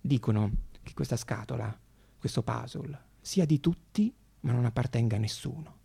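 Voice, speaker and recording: A man performing a theatrical storytelling monologue, with dramatic pauses, repeated phrases and shifts between hushed and emphatic delivery. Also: medium pitch, gravelly, husky. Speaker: actor and monologuist.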